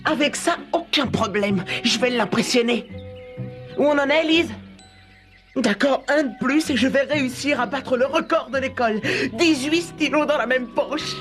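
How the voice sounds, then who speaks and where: A determined little cartoon schoolboy voiced by a woman talks in a high voice. He is boastful, eager and emphatic. Music plays behind the lines. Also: normal speed, natural voice. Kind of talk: dubbed children's cartoon acting.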